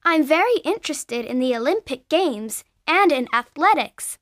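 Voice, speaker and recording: A woman voicing a cheerful, sporty eleven-year-old girl in scripted coursebook audio, speaking slowly and clearly. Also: high-pitched, lively intonation, a bit bright, no echo, studio quality. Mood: friendly. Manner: slow, clear, careful delivery. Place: recording studio.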